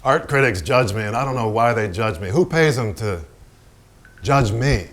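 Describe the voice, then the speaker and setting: Actor as brash, volatile American painter, profane and swaggering. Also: male voice, medium pitch, lively intonation, normal speed, fairly bright tone, natural voice. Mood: indignant. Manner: swaggering, needling, repetitive, profane. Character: brash, volatile, vulgar American male painter, insecure and boastful. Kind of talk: theatrical staged reading. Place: staged reading in a barn venue.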